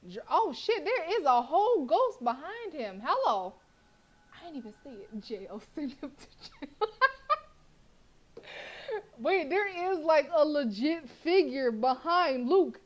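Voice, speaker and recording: A woman streamer reading a horror visual novel aloud with expressive narration, breaking off to react and laugh. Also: high voice, takes it slowly.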